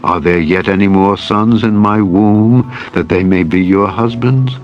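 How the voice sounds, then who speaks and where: A man reads the part of a grieving, embittered old widow in a dramatized scripture story. The voice is very low, solemn and sorrowful, on a slightly dull recording with faint music. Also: measured pace, lightly acted. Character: grieving, bitter older widowed mother-in-law.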